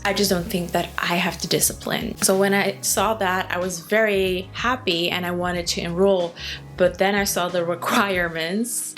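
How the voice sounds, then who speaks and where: A woman in her late twenties, Dutch by first language, talks openly and earnestly in a relaxed vlog update. Her voice sits at an ordinary middle pitch. Faint music plays underneath. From Netherlands. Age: late 20s. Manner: candid, chatty, earnest. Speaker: hair care vlogger. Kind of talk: casual personal update to camera.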